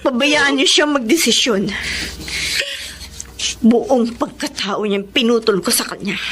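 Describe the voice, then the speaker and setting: Long-suffering but resolute wife woman performer, high and slow, emotional melodrama delivery. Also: fairly bright tone. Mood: tearful resolve. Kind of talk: Filipino radio melodrama acting.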